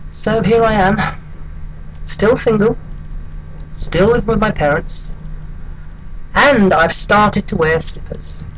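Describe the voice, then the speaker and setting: Casting: actor as hapless wistful bachelor, high male voice, deadpan and halting comic monologue, noisy homemade recording. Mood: deadpan, rueful. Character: hapless, wistful single man telling his odd life story.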